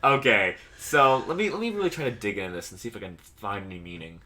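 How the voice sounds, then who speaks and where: A man doing joking let's-play commentary in a teasing, mock-dramatic, animated way. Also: fairly low pitch, quick pace. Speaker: video game commentator.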